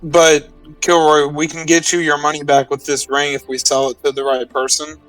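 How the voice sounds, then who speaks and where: A man's voice: a little high, little pitch movement, normal speed, fairly bright tone, far from the mic, faint music behind, low-quality recording. A man playing a tabletop roleplaying game, speaking improvised in-character lines earnestly and pleadingly.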